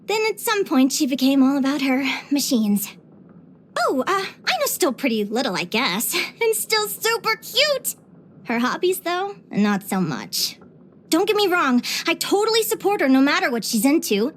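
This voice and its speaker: A woman voicing a bubbly, curious and sweet young female adventurer in a video game, with an expressive, chirpy delivery. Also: sits high, normal speed, a little hiss or hum. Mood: gushing, wistful. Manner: expressive, chirpy, with sighs and giggles. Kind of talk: scripted video game character voice lines.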